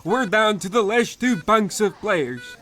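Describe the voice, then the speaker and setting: A man's voice: high-pitched, lively intonation, a little slow, pushed and bright, heavily acted. A man playing a brusque, pompous quack doctor who scolds in a comedic old-time radio play. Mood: furious, threatening. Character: brusque, irritable quack doctor, bossy and menacing.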